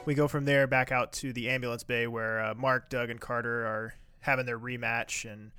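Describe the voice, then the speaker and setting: A man's voice: mid-range voice, little pitch movement, moderate pace, pushed and bright, faint music behind. A man co-hosting and editing a podcast, recapping scenes in casual chat with dry sarcasm. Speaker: podcast co-host and editor.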